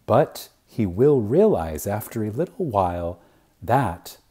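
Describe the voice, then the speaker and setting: A husky-voiced man gives a dramatic reading of a spiritual lecture. His pitch rises and falls widely, and he moves very slowly, leaving deliberate pauses and letting phrases fade softly at the ends. The delivery is reverent and full of emphasis. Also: big pitch swings.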